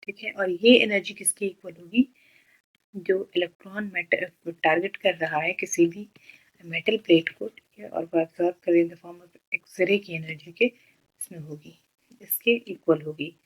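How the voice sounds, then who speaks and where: An Indian woman with a slightly low voice works through a problem as a tutor. She explains one step at a time, often asking a question and answering it herself, and checks in as she goes. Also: measured pace, rough recording. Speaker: physics tutor. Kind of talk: step-by-step problem explanation, Hindi mixed with English terms.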